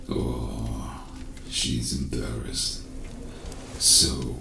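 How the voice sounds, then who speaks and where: A man voicing a cold, calculating masked male vigilante, deep and gravelly, with a robotic voice filter. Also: background noise, heavily acted. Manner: calm, menacing, deliberate. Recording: roomy echo, distant, music behind. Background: game music.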